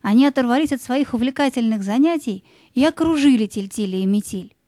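A woman narrating a scripted fairy tale in measured, lyrical short phrases. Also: a little high, dark tone, no echo, studio quality.

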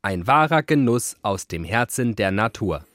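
A man's voice: fairly low pitch, lively intonation, measured pace, fairly bright tone, no room sound, studio quality. A man voicing an overblown, syrupy beer advert announcer in a mock radio commercial. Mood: savouring, salesy.

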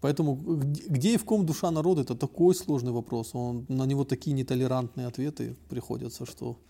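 A man from North Ossetia speaks as a guest on a podcast, with a gravelly, mellow voice. He talks in a reflective way and often hesitates with drawn-out fillers while he searches for words. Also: mid-range voice. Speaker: podcast guest, blogger and activist. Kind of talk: casual discussion.

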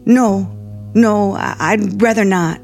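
An actress playing a timid, polite young aspiring writer, halting and nervous, in 1950s radio suspense style. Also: female voice, mid-range voice, vocal fry, darkish tone, faint music behind. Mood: frightened, hesitant.

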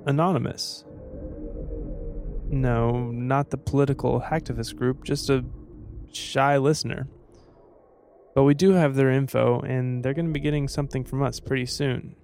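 A man co-hosting a podcast, reading a scripted sign-off with a deadpan, joking manner. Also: mid-range voice, normal speed. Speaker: podcast co-host and composer. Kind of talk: light scripted podcast outro with dry jokes. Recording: music bed behind voice.

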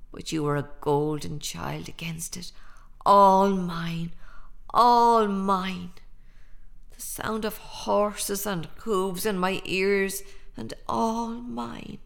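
A woman's voice: mid-range voice, vocal fry, a bit bright. An actress with an Irish accent playing an ordinary mother, haunted and fiercely protective of her child, in a lyrical radio drama style. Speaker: actor. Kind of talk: radio drama monologue and dialogue.

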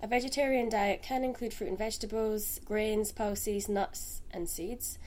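A woman, a dietitian calmly explaining terms as a podcast guest. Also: mid-range voice, a little slow. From Scotland.

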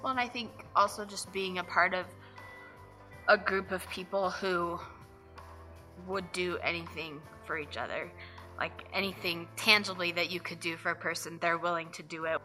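A young adult woman from Nevada reflecting softly on her church testimony in an interview, with fillers and pauses. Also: fairly low pitch, fairly even intonation, soft background music. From Reno, Nevada. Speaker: church member giving testimony.

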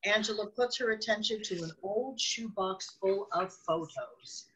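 Female narrator for radio drama, husky mid-range voice, scripted scene descriptions. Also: medium pitch, unhurried, sharp tone, rough recording.

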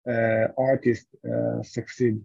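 A man's voice: medium pitch, little pitch movement, slow pace, creaky, darkish tone, no room sound. A man from Israel being interviewed about his startup, answering at length with frequent fillers and restarts. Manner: hesitant, many fillers and restarts. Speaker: guest, startup founder. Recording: dull lo-fi sound.

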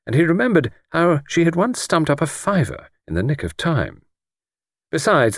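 A man's voice: lowish voice, no room sound, studio quality. A man with an English accent reading literary fiction as an audiobook narrator. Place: dry studio.

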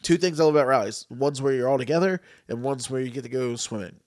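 A man's voice: medium pitch, quick pace, a little mellow, no echo, studio quality. A man hosting a podcast in a laid-back, joking casual chat, with a stuffy, nasal voice and easy swearing. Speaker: podcast host.

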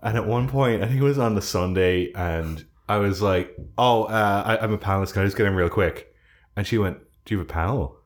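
A man with a Dublin Irish accent tells loose, self-deprecating anecdotes on a podcast. His voice sits on the lower side, and he swears freely and acts out what other people said. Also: fairly quick. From Ireland. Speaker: podcast co-host. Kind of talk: casual storytelling chat.

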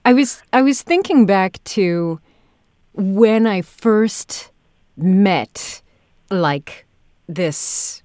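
A woman hosts a casual interview in a medium-pitched voice. She feels her way through phrases and leans on key words. The sound is dry, with no room echo. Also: lively intonation, extremely slow, a little mellow. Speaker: podcast interviewer, audio drama director and actor.

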